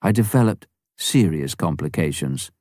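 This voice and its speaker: Male narrator, mellow tone, dry close sound, scripted memoir reading, measured storytelling, deliberate phrasing. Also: low voice, animated, no room sound. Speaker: audiobook narrator.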